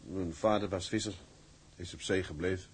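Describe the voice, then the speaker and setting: A man's voice: deep voice, fairly even intonation, normal speed, husky. An actor playing a modest young sailor in his twenties, polite and earnest with his superior. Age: 20s.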